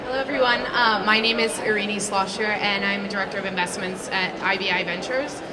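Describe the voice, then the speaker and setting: A woman's voice: medium pitch, fairly quick, very noisy. A woman investment director introducing herself and her work as a panelist. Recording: distant mic, loud crowd chatter.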